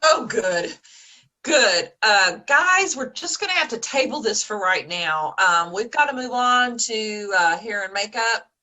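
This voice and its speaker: A woman playing a frazzled, nervous amateur theater director in a web comedy, halting and anxious. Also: mid-range voice, animated, bright, forceful tone. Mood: harried. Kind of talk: comedic web series acting.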